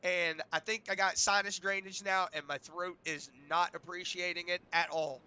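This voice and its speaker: A man doing relaxed, rambling play-by-play for an online truck race, his voice hoarse from a sinus infection and full of filler words. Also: high voice, moderate pace. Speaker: race commentator. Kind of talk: play-by-play sim racing commentary.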